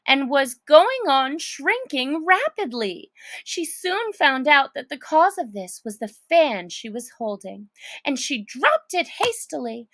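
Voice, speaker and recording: High-voiced woman reading a storybook, slowly. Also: fairly bright tone, no room sound. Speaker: children's story reader. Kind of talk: expressive storybook narration.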